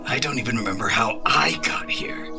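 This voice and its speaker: A man's voice: on the low side, moderate pace, vocal fry, over music. A man playing a worried, weary companion of a fragile seer in an audio drama, earnest and sighing. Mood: weary, confiding.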